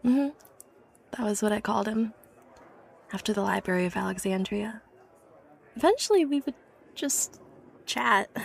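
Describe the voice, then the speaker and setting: A woman's voice: mid-range voice, vocal fry, close to an everyday voice. A woman playing an obsessive, haunted young inventor from a wealthy family in a horror audio drama, confiding hesitantly with phrases that drop to a whisper. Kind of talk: horror audio drama acting, confessional monologue. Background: background music.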